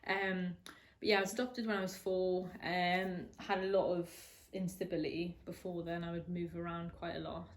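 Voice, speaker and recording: A woman's voice: on the low side, little pitch movement, moderate pace, hardly any pauses. A woman in her twenties speaking candidly in an interview, hesitant, with many restarts and fillers. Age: 20s. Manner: hesitant, lots of restarts and ums. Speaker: interviewee sharing a personal story.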